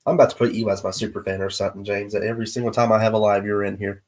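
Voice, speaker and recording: A man's voice: fairly low pitch, flat delivery, a little mellow. Stuffy-nosed man hosting a live stream. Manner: easygoing, halting with many pauses. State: stuffy nose from allergies. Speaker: show host. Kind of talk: casual livestream chat with viewers.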